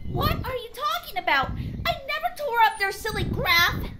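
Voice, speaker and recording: A woman's voice: very high voice, very animated, normal speed. A woman voicing a snappy, spoiled young female pony in a fan fiction audio story, curt and indignant. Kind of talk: character acting in fan fiction audio reading. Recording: heavy background noise with birdsong.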